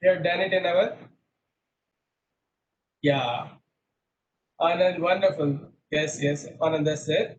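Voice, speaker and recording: A man's voice: fairly high pitch, takes it slowly, pauses often, a little hiss or hum, poor audio. A middle-aged man teaching an online class over a video call, quizzing students and repeating himself, mixing English with Hindi. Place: small room indoors. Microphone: laptop webcam on a video call.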